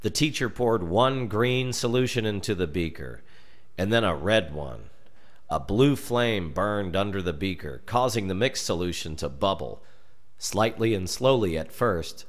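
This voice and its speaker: An American man narrating a scripted children's horror story in a deliberate, dramatic storytelling manner. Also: low-pitched, lively intonation.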